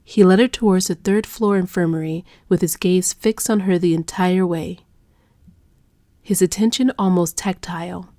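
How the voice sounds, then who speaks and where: A woman narrating a scripted dark romance audiobook in a measured, hushed, dramatic manner. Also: fairly low pitch, fairly even intonation, dry recording, clean studio sound.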